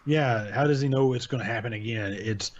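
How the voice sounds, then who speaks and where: A young man chatting casually as a channel host, rambling in a laid-back way and often restarting his sentences. His voice sits at a middling pitch and comes through a desk microphone on a dull, lo-fi home recording. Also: fairly quick. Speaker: channel host reviewing horror stories. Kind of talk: casual chat. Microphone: desk mic with pop filter.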